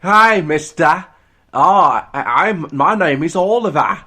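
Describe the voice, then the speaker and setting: A man voicing a timid little orphan boy in a put-on English manner, halting and stammering. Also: on the high side, animated, bright, forceful tone, pitched above the performer's own voice, heavily acted.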